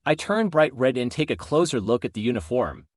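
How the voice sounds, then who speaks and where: A man's voice: medium pitch, big pitch swings, a little fast, dry recording, studio quality. A man narrating written stories as a host, acting out the dialogue as he goes. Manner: acts out quoted dialogue. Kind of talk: scripted story narration.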